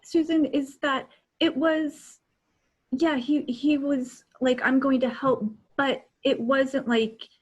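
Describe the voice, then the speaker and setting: A woman co-hosting a casual book discussion on a video call, talking in halting bits with many asides. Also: high-pitched, level tone, dull audio. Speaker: co-host. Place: indoor room at home, video call.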